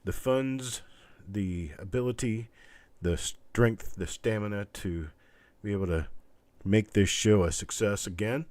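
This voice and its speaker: Deep, scratchy, congested male livestream host. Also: measured pace, a little mellow, no echo. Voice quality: congested, stuffed-up nose. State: recovering from illness, weakened voice. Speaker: host of a live online coin auction. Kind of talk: casual livestream chat with viewers.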